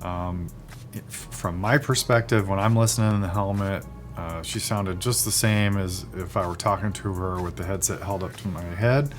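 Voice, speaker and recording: A man giving a casual, unscripted product review, speaking in a measured way with thoughtful, hesitant phrasing. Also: sits low, faint music behind. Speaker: product reviewer, video host.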